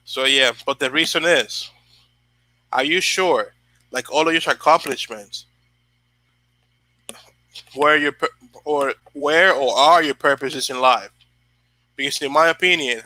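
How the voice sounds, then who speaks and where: A man's voice: mid-range voice, pushed and bright, dry recording, rough recording. A young man from the Dominican Republic hosting a casual motivational livestream, rambling with many fillers and restarts while he answers viewers. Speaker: channel host.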